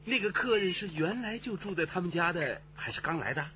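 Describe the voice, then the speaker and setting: An actor playing a kindly, playful and inquisitive uncle in a radio drama. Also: male voice, high voice, expressive, brisk, bright, forceful tone, dull audio, slight background noise. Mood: probing.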